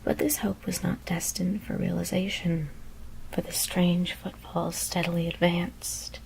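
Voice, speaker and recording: A woman whispering a gothic horror story as ASMR narration, hushed and deliberate, with careful pauses. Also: on the low side, level tone, creaky, a little hiss or hum, poor audio.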